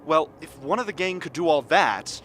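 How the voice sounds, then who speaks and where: A man's voice: a little high, expressive, normal speed, fairly bright tone, slight background noise. A man playing a nervous, suspicious camp member who halts and repeats words in a radio drama.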